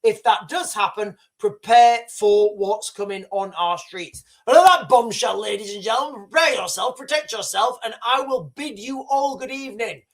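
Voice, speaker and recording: A man's voice: sits high, moderate pace, hardly any pauses. Man, forties, live-stream host, rambling, repetitive, casual. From United Kingdom. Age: 40s. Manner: rambling, repeats himself, keeps calling viewers "guys". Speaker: livestream host.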